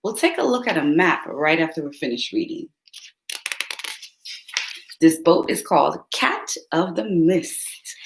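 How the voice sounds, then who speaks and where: A woman's voice: sits low, big pitch swings, unhurried, creaky, pauses often, noisy background. A woman reading a picture book aloud to young children, turning to ask her listeners questions along the way. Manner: speaks directly to young listeners, asks them questions. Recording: roomy echo.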